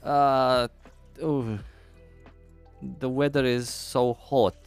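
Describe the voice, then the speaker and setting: A man's voice: mid-range voice, fairly even intonation, drawn-out words, clean tone, soft background music. A young man with a Romanian accent chatting casually with viewers on a live game stream, thinking aloud as he answers chat.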